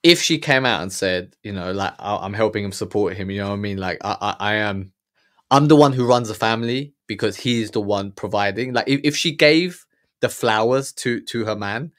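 A man's voice: on the low side, normal speed, fairly bright tone. A man in his thirties giving blunt, heated reaction commentary with lots of stammered restarts, recorded on a studio mic in a small room. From Germany. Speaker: podcast host reacting to a documentary. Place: small room with purple lighting. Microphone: studio mic on boom, earphones.

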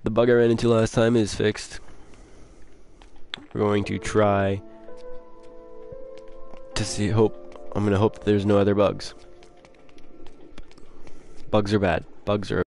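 A young man gives loose, rambling commentary while he plays a video game. His voice sits low, and he reads notes from the game aloud as he goes. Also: level tone, takes it slowly, pauses often, a little mellow, soft background music. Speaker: gamer playing a horror-adventure game. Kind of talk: casual let's play commentary.

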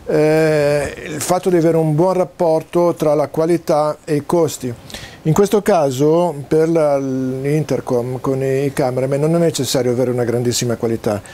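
A man gives an informal, halting technical explanation to camera, pausing often as he searches for words. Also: on the high side, warm tone. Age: 60s. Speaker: presenter demonstrating home-built audio and video gear. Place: small cluttered home study, indoors.

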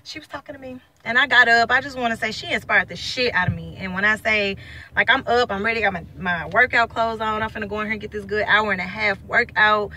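Young st woman, bright voice, blunt slangy chat, phone in her car, faint hum behind. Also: medium pitch, fast. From St. Louis, USA. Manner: blunt, slangy, lively and chatty. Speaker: vlogger. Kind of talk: casual selfie vlog. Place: inside a parked car. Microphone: phone held at arm's length.

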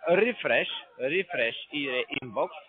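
A man who is not a native German speaker, phoning from a call center, speaking halting broken German and repeating words. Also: on the high side, expressive, breathy edge. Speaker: scam call center caller. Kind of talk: phone call. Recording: tinny phone line.

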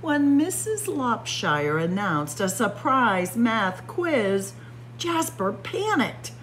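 Expressive woman reading a spooky picture book. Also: fairly high pitch, slow, a little hiss or hum, low-quality recording. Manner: dramatic, expressive storytelling with spooky whispers. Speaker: children's librarian. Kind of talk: picture book read-aloud. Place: library with bookshelves.

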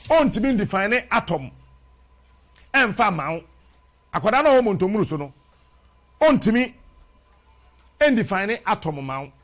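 A middle-aged Ghanaian man hosting a TV studio show, delivering a preachy, animated monologue in Twi and English, repeating phrases rhythmically into a lapel mic. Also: high voice, creaky. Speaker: TV host. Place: TV studio with brick wall.

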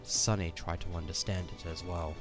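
Male narrator for fantasy audio drama: low-pitched, scripted storytelling, faint music underneath. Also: level tone, moderate pace.

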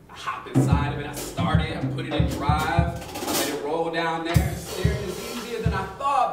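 A man in his thirties giving an expressive, colloquial dramatic reading of first-person fiction, acting out the dialogue on stage. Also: a little high. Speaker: story reader. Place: arts venue stage, live with musicians. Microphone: studio-style microphone on a stand. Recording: echoey live room, distant, loud percussion. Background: live drum and percussion music.

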